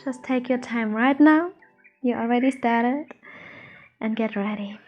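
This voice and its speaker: High-voiced slow woman guiding meditation. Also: lo-fi sound, soft background music. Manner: soothing, unhurried, gentle instructions with pauses. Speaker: meditation teacher, channel host. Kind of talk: guided meditation.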